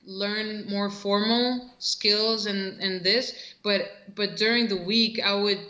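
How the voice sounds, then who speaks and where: A bright, clear-toned young woman from Costa Rica talks about her past in a relaxed way, often pausing on fillers and starting over. Her voice comes through a video call from a roomy, echoing space. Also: mid-range voice, highs cut off. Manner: relaxed storytelling with many fillers and restarts. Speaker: interview guest, professional soccer player. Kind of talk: casual video-call interview.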